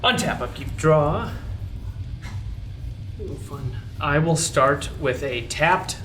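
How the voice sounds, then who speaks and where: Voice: mid-range voice, slow pace, a bit bright. A playful card game player with mock-dramatic reactions. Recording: echoey room, audible noise, distant mic.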